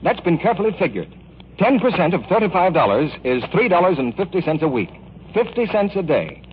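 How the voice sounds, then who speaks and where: A man's voice: high-pitched, sing-song, brisk. A man working as a radio announcer, reading a scripted 1940s public appeal. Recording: muffled, hissy old radio broadcast.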